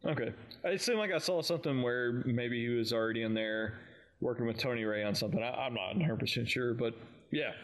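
A man's voice: medium pitch, fairly quick. A man co-hosting a fan podcast in casual conversation.

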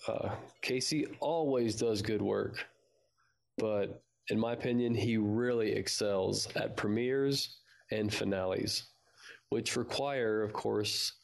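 A man's voice: on the low side, vocal fry. A man co-hosting a fan podcast, talking casually about a show. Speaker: podcast co-host.

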